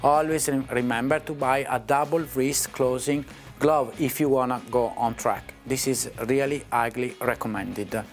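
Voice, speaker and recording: A man's voice: medium pitch, steady tone, normal speed, few pauses, soft background music. A man with an Italian accent explaining safety tests in an indoor test lab, hesitant with many fillers. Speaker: company representative. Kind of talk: explaining on a factory tour.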